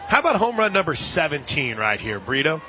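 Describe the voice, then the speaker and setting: An American man calling live softball play-by-play on the radio, slipping into conversational asides between pitches. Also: big pitch swings, brisk. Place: outdoor softball stadium. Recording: noisy outdoor stadium broadcast, loud crowd. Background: crowd and stadium noise.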